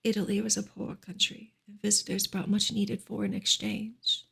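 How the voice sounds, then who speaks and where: A woman reading a novel aloud in a soft, breathy ASMR style, partly whispered, in a gentle, hushed and unhurried way. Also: mid-range voice, level tone. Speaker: ASMR reader and streamer.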